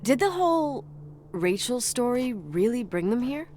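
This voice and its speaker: A woman's voice: a little high, big pitch swings, a little slow, a little mellow, slight background noise. An actress playing an inquisitive, wistful woman in naturalistic video game dialogue. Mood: curious. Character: woman saddened by her declining hometown, inquisitive.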